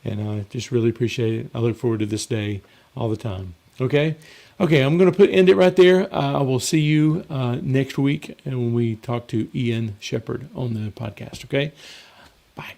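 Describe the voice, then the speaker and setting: A man hosting a casual live Q&A as a mixing coach, slightly hoarse and rambling in a conversational way. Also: mid-range voice, steady tone, normal speed, dark tone. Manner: rambling and conversational, with frequent fillers and restarts. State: slightly hoarse, losing his voice. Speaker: mixing coach and show host.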